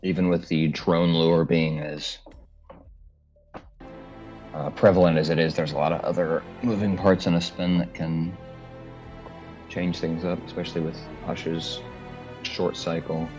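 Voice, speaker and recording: A man with a deep voice giving casual, laid-back live commentary on a game match, thinking aloud with fillers. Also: level tone, measured pace, long pauses, darkish tone, dull audio, soft background music. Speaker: tournament commentator. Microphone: home streaming mic, lower quality.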